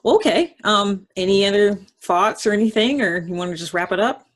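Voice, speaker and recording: A woman's voice: mid-range voice, animated, fairly quick. A woman hosting a casual, conversational interview, talking in an informal, slangy way and acting out quotes as she goes. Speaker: host.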